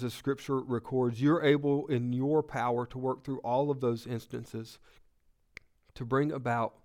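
A man's voice: medium pitch, clear, resonant tone. A man with a Southern US accent, preaching a sermon while sick, retelling a story in a conversational, joking way. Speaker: pastor.

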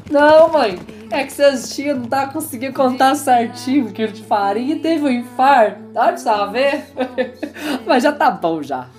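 Actress as grumbling rural Brazilian woman, high-pitched, sharp-tongued, folksy, radio soap, music behind. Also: animated, normal speed. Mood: exasperated, grumbling. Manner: rustic, scolding, colloquial. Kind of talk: comic radio soap opera acting.

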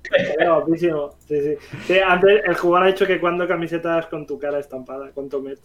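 A Spanish man co-hosting a casual livestream podcast, excitable and eager to thank viewers. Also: on the high side, quick pace. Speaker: podcast co-host.

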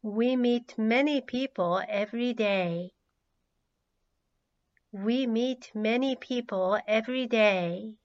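A woman who is a native Japanese speaker, teaching English by reading out words and sentences with deliberate, measured enunciation for learners. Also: on the high side. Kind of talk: language drill, reading words and sentences twice. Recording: slightly dull, lo-fi audio.

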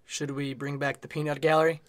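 Man acting a glib, higher-voiced corporate crony. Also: little pitch movement, fairly quick, dry recording, close to an everyday voice. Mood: glib, baffled. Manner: glib, incredulous. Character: slick, shameless, chummy male corporate crony. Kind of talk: comic audio drama acting.

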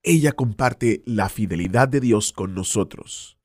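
A man's voice: on the low side, measured pace, no echo, clean studio sound. A man announcing a radio program segment, thanking the teacher and introducing a listener.

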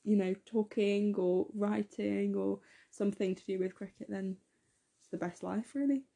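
A teenage girl, a bit hoarse, chatting enthusiastically as podcast co-host with lots of fillers. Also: medium pitch, fairly even intonation, moderate pace, mellow. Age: teens. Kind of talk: casual podcast chat.

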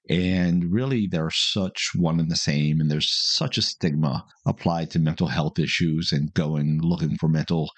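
A man's voice: deep voice, slightly rough, no echo. A man hosting a podcast, talking casually and giving his opinions in reply to listener calls. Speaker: podcast host.

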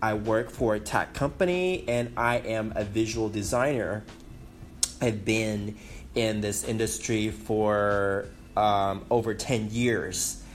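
Slow, hesitant man with a Taiwanese accent. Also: on the low side. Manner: hesitant, many ums, self-conscious. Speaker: podcast host. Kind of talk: casual solo podcast talk. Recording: faint background noise.

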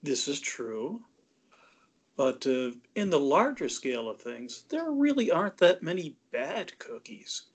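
Measured man chatting with laughs. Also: on the high side, sing-song, breathy edge. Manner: measured, laughs easily, many uh fillers. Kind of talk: casual chat.

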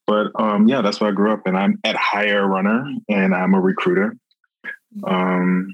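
A man from Florida, a recruiter, talking in an earnest, reflective way with many pauses and ums. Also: on the low side, no room sound, lo-fi sound. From Florida, USA. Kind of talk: casual interview talk.

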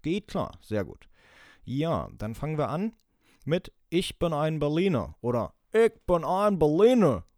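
A man's voice: fairly high pitch, measured pace, dry recording, studio quality. A man co-hosting a casual podcast, reading out quotes for a quiz and laughing often. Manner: lively, laughing, reads quotes aloud. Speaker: podcast co-host running a quiz.

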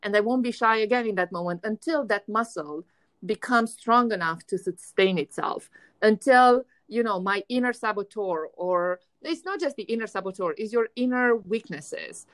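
Energetic woman host, Russian-sounding accent. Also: mid-range voice, moderate pace, no room sound. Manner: energetic, emphatic, repeats words when starting. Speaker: podcast host. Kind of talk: casual conversation.

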